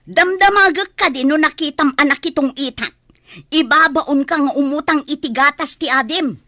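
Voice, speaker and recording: A woman's voice: high voice, moderate pace, bright, forceful tone, no echo, clean studio sound. A woman with an Ilocano accent playing a brash, defensive, nagging village mother in a comedy radio drama. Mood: bossy. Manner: nagging, argumentative.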